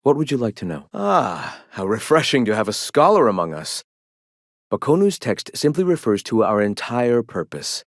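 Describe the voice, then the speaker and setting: An actor playing a zealous, scholarly leader of a philosophical rebel movement in a video game, speaking in a lofty, formal, preachy way. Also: male voice, mid-range voice, no room sound, clean studio sound, natural voice. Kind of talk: video game character dialogue.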